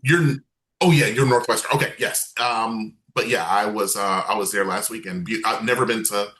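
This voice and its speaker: Bright-voiced chatty male host. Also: fairly low pitch, moderate pace. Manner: chatty, upbeat, self-deprecating. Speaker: game show host. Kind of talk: casual online game night hosting.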